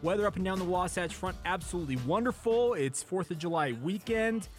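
A man's voice: sits high, animated, fairly quick, soft background music. A man with an American accent hosting a casual sports radio talk show, chatting and steering the conversation.

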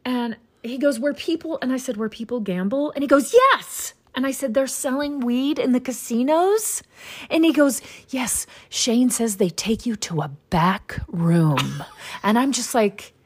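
A woman with a slightly high voice tells a long story in easy conversation. Her humor is dry and deadpan, and she keeps going through a steady stream of ums and uhs. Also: unhurried, breathy edge. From Utah, US. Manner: wry, deadpan storytelling with fillers.

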